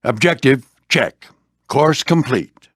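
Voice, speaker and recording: An older man voicing a veteran warplane skipper in a video game, a gruff, fatherly flight instructor with folksy, military phrasing. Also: mid-range voice, expressive, slow, a bit bright, no room sound, clean studio sound, exaggerated voice. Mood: brisk, military. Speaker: squadron skipper and mentor. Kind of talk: animated video game character voice lines.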